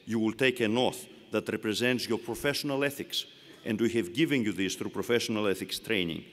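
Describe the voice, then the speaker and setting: A man with a Greek accent giving a formal commencement speech, delivering measured phrases one at a time from a podium. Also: lowish voice. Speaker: university leader giving commencement address. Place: large hall. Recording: long reverb of a large hall.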